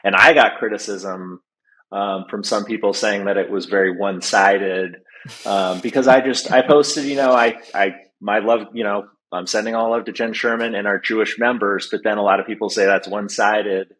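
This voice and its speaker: Low-voiced man hosting a casual podcast. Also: fairly even intonation, a bit bright, low-quality recording. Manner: halting, restarts sentences. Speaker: podcast host.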